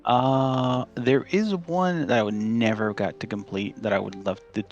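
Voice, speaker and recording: A man's voice: medium pitch, steady tone, normal speed, muffled recording, soft background music. A man from Chile, a podcast guest, telling rambling stories in casual chat with lots of fillers and restarts.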